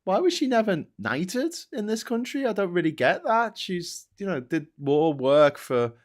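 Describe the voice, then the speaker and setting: A man's voice: high-pitched, very animated, measured pace. A man from Birkenhead with a Merseyside accent, hosting a casual news chat podcast, chatty with frequent hesitant fillers. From Birkenhead, England. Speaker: podcast host.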